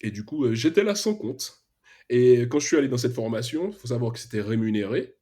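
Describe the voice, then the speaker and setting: A man's voice: mid-range voice, lively intonation, fairly quick, warm tone. A young man from Martinique with an Antillean accent, telling his story casually in a podcast interview, with lots of hesitations and slang. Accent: Antillean French. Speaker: podcast guest, freelance web developer.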